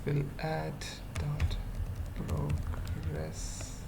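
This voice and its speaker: A person thinking aloud through an unscripted coding tutorial, murmuring short halting fragments with long pauses. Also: medium pitch, sing-song, vocal fry. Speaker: web developer giving a tutorial. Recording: noisy room with keyboard typing. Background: computer keyboard typing.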